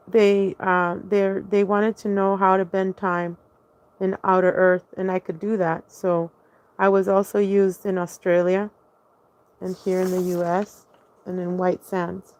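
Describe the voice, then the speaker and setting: A woman's voice: lowish voice, level tone, takes it slowly, pauses often, a little mellow, smooth. A woman telling her own story in a casual, rambling monologue, hesitating often, restarting sentences and spelling out words letter by letter.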